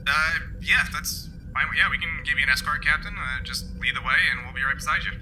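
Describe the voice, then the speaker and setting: A man running a tabletop game as game master, improvising and chatting casually in halting, restarted sentences. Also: mid-range voice, background noise.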